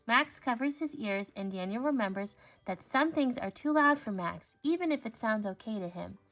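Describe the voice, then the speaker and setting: Higher-voiced woman reading a children's storybook. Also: lively intonation, moderate pace, fairly bright tone, soft background music. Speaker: storybook reader. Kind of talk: read-aloud children's story narration.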